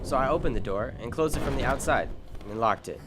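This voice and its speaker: A man's voice: medium pitch, expressive, brisk, over loud music, natural voice. An actor playing a world-weary, wry private detective in an old-time radio drama, narrating and talking through his case. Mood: dry, weary.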